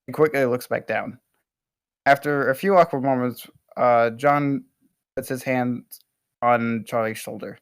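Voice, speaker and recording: A man narrating stage directions at an amateur table read, reading haltingly with small stumbles. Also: mid-range voice, clear, resonant tone, no room sound, studio quality.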